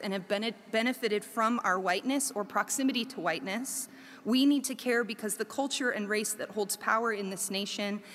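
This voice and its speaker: A woman professor gives a prepared talk to listeners in an earnest, measured way, building her points through emphatic lists. Her voice sits at an ordinary middle pitch and carries a long reverb tail. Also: fairly bright tone. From Korea.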